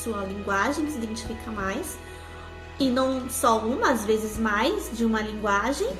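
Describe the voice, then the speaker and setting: High-voiced Brazilian woman giving family advice. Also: lively intonation, normal speed, a bit bright, faint music behind. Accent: Brazilian Portuguese. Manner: earnest, explanatory, tags sentences with "né?". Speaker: co-host, mother speaking about family life.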